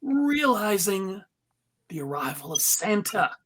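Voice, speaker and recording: Unhurried reader of stage directions. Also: medium pitch, very animated, fairly bright tone. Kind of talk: scripted scene description with casual asides.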